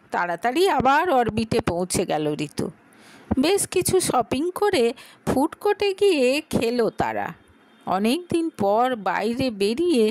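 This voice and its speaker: A woman narrates a dramatic audio story. Her voice is high and moves in lively swings. She reads at an unhurried pace, recorded close and dry. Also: no room sound.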